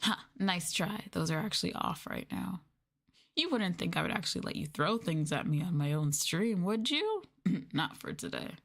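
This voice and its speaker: A woman's voice: lowish voice, lively intonation, moderate pace, vocal fry, a bit bright. A woman livestreaming an ASMR roleplay, talking down to her viewers in a teasing, sultry, condescending way. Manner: teasing, condescending, mock-sadistic dominance.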